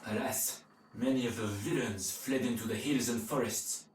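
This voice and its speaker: Man playing a dutiful knight, courtly wording, medium pitch, strong echo, distant, medieval video game. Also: steady tone, moderate pace, slight background noise, natural voice. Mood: earnest, regretful. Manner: formal, courtly. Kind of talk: video game cutscene acting. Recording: very echoey, distant from mic.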